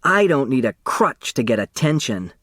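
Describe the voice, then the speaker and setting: A man voicing a brash, sharp-tongued little sister in an audiobook, blunt and combative. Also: mid-range voice, big pitch swings, moderate pace, no echo, studio quality, voice pushed up. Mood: cocky, needling.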